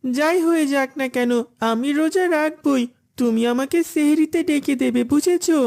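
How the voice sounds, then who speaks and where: A woman's voice: sits high, normal speed, gravelly, a little mellow. A woman voicing an eager, stubborn young schoolboy in a cartoon, with an insistent, childlike manner. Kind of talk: cartoon dialogue.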